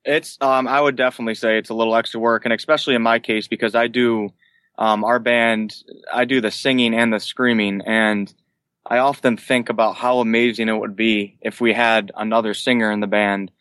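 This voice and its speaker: A man's voice: medium pitch, flat delivery, a little fast, fairly bright tone, clean tone, no room sound. A man in his late twenties, a band vocalist, answering casually as an interview guest. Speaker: guest, band vocalist who sings and screams.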